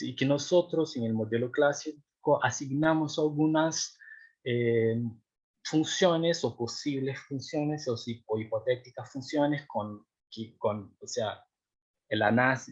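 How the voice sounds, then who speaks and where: Young man, husky voice, dull lo-fi sound, hesitant seminar explanation. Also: mid-range voice. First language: Portuguese. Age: young adult. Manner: hesitant, many fillers and restarts, checks if understood. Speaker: doctoral researcher presenting a seminar.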